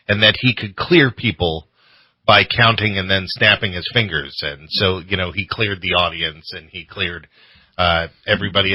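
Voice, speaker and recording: Very bright, forceful man, casual radio guest. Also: on the low side, breathy edge, no room sound, rough recording. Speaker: radio show host appearing as guest. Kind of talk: casual chat, interview answers. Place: radio studio. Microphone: studio microphone.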